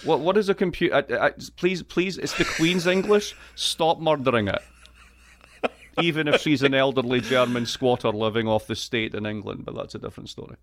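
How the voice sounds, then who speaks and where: A man with an east coast Scottish accent, a guest on an interview show, talking in a wry, joking way with quick asides. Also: medium pitch. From Scotland. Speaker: guest, economist. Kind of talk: lively podcast interview.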